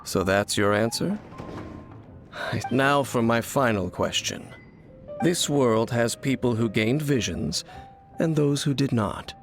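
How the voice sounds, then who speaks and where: An actor playing a mysterious, guarded wandering swordsman who speaks in a measured, solemn and formal way. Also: medium pitch, expressive, vocal fry. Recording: game audio with soft music.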